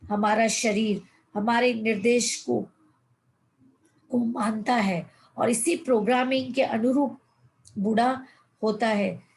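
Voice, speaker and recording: Woman, 50s, life coach; somewhat high, gravelly voice; reads aloud, then explains; chatty motivational talk. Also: fairly even intonation, takes it slowly, pauses often, darkish tone, a little hiss or hum, rough recording. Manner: addresses listeners as friends, mixes English words. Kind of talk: motivational online session in Hindi.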